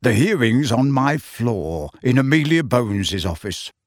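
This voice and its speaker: A man voicing a kindly, eager middle-aged father in an audiobook, speaking gently and reassuringly in a measured way. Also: mid-range voice, very animated, no room sound, clean studio sound.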